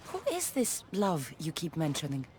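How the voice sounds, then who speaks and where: Wry, animated mercenary warrior. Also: female voice, sits low, normal speed, slight background noise. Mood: dry, teasing. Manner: wry, sardonic, self-assured. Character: bold wry female Greek mercenary warrior. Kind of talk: video game cutscene acting.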